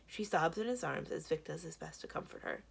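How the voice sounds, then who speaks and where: A woman reading a children's book aloud as expressive scripted narration. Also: sits low, brisk, vocal fry, husky. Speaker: library read-aloud reader.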